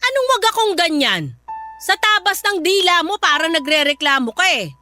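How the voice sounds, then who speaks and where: A woman's voice: very high voice, big pitch swings, normal speed, pushed and bright, soft background music, exaggerated voice. An actress playing a domineering, resentful middle-aged Filipino mother who scolds and accuses in a radio drama. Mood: indignant, reproachful. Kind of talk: emotional radio drama acting.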